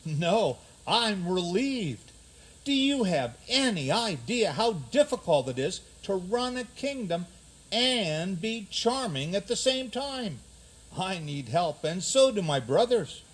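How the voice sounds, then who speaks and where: Guy as tired prince in radio comedy, high voice, slow and drawn out, kinda breathy rough. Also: sing-song, full character voice. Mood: relieved, weary. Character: weary, relieved prince glad to share power. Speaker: actor in community theater. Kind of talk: comic radio theater acting.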